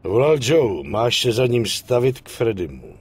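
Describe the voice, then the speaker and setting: An actor playing a bossy, brusque boss in a dubbed game, with a raspy, gravelly voice and a curt, patronizing manner. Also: male voice, mid-range voice, sing-song, a little mellow. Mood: brusque, mocking. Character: bossy, brusque male boss who hands out pay. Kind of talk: dubbed video game cutscene acting.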